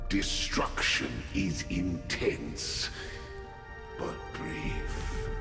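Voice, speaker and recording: Gravelly, cavernous ancient fire demon. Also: male voice, rumbling low, heavily acted. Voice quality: gravelly, breathy growl. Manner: grandiose, solemn, slow and deliberate. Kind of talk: dramatic video game acting. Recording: cavernous reverb, loud music behind.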